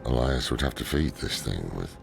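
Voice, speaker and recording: An actor playing a gruff, cynical old demon hunter with a blunt, weary manner in a video game. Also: male voice, animated, moderate pace, exaggerated voice. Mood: grim, dismissive. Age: older. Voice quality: deep, gravelly. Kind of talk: dramatic video game acting. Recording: dramatic music under the voice.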